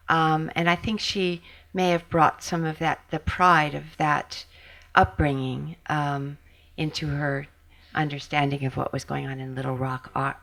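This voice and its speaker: A woman biographer giving a talk and answering questions, thoughtful and halting, with many pauses. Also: sits low, vocal fry, a bit bright. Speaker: biographer and speaker.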